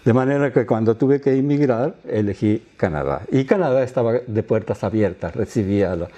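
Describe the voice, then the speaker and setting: Mellow-voiced Argentine man in his eighties. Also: medium pitch, a little fast. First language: Quechua. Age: 80s. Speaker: interview guest, former radio and TV announcer. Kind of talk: autobiographical storytelling in an interview.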